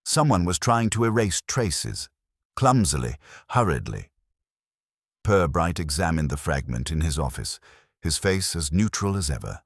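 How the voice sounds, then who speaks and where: Extremely deep male mystery narrator. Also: sing-song, unhurried, husky, dry recording, studio quality. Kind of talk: scripted mystery story narration.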